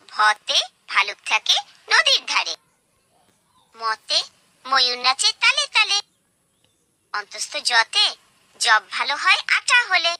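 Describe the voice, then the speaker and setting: A woman reciting children's alphabet rhymes in a singsong way, enunciating each phrase deliberately as a presenter for small children. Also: high-pitched, bright, forceful tone, no room sound.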